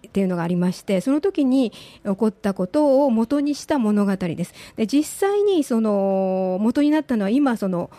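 A woman's voice: a little high, very animated, moderate pace, few pauses, mellow, no echo. A woman giving a polite, explanatory talk on the radio, recorded close in a studio. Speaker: disaster information presenter and theatre troupe member.

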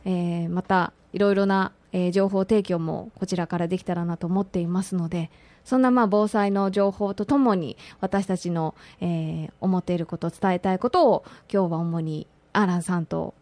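A woman with a fairly low voice co-hosts a radio programme. She introduces things politely, feeling her way in short phrases, and the sound is close and dry. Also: steady tone, normal speed, mellow, no echo. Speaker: radio co-host.

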